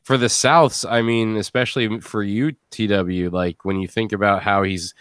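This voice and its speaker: Low-voiced man in a casual panel discussion. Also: fairly even intonation, moderate pace, fairly bright tone, smooth, dry recording, studio quality. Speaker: podcast host and audio drama actor.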